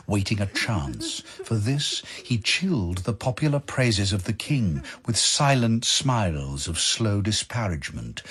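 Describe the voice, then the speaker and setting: A man narrating dramatic verse in a measured, solemn manner with weighty pauses. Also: fairly low pitch, slightly rough.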